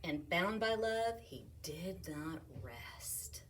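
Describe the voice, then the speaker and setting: A woman reading a picture book aloud to children in an animated way, asking them questions and sometimes dropping to a whisper. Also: on the low side, very slow, far from the mic, noisy background. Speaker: storytime reader, teacher.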